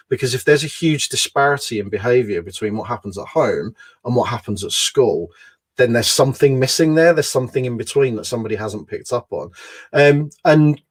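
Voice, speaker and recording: Casual: guy explaining stuff earnestly, lots of "you know", normal-pitched voice. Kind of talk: conversational interview.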